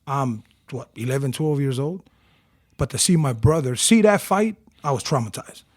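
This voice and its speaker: A man in his forties from Tonga, telling animated stories about his youth as an interview guest. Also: medium pitch, moderate pace, no room sound, clean studio sound. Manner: animated storyteller, acts out quotes. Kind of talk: casual storytelling interview.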